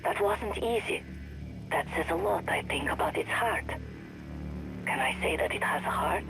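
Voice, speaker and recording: A woman playing an earnest crew member in a video game, giving testimony in a plain, deliberate way. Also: low-pitched, animated, gravelly, over music, rough recording.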